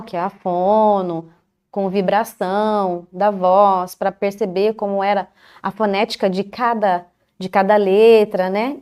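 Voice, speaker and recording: A woman recounting her own life story in a calm, measured way, pausing often between short phrases. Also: medium pitch. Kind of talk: first-person life story in a TV interview.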